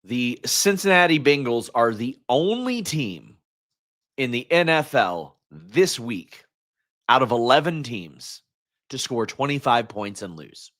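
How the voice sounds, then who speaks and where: Hoarse man ranting about a loss. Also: medium pitch, sing-song, slow pace, fairly bright tone. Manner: frustrated ranting fan, restarts mid-sentence. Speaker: host. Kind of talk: solo sports talk stream.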